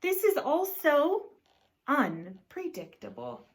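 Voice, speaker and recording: A woman voicing a mild, cautious husband in a children's storybook, with a hesitant, drawn-out delivery. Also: sits high, huge pitch swings, pitched above the performer's own voice, full character voice. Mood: puzzled.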